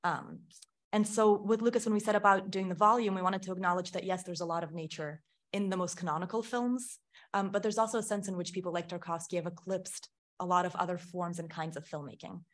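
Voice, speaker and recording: Woman, from Ukraine, middle-aged, lower voice, academic lecture, lecture hall, panel mic. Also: fairly even intonation, brisk. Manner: thoughtful, frequent "um" and self-corrections. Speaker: film scholar giving a panel talk.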